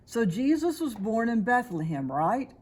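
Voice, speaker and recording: Woman voicing an earnest, inquisitive young boy, higher pitched. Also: big pitch swings, a little slow, a little mellow, slightly rough, exaggerated voice. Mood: questioning. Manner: earnest, questioning. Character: curious, persistent young boy at Christmas.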